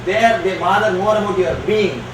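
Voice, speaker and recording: A man whose first language is Tamil, answering audience questions as a spiritual teacher, speaking slowly and emphatically. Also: high voice. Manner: slow, emphatic and declarative. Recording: echoey hall from far off, noisy.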